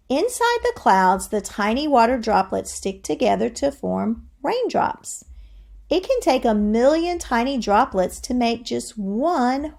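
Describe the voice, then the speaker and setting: Slow-paced, creaky-voiced woman reading aloud. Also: a little high, a little mellow. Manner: instructive, asks the listener questions. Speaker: teacher reading a science book aloud. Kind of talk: educational read-aloud with explanatory asides.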